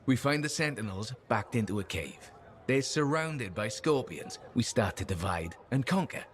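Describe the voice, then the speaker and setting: A man's voice: mid-range voice, lively intonation, normal speed, lightly acted. A man playing an upbeat, chummy freelancer with a storyteller's manner in a sci-fi video game. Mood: storytelling. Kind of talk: video game dialogue acting.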